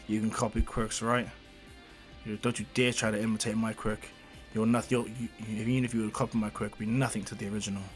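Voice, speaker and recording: A man voicing a gruff, proud, middle-aged male fire hero who speaks in a low, curt, contemptuous tone. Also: level tone, quick pace, soft background music, close to an everyday voice.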